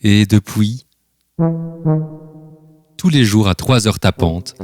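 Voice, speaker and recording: A male storyteller reads a scripted children's tale in a fairly low voice. The recording is very dry, with light music playing behind him. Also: slow pace, darkish tone, dry recording. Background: instrumental music.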